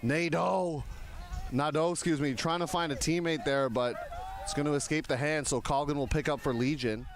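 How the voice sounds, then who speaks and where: A man's voice: fairly high pitch, steady tone, normal speed, crowd noise. Man in his thirties calling a game. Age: 30s. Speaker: sports commentator. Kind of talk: live play-by-play commentary with casual banter.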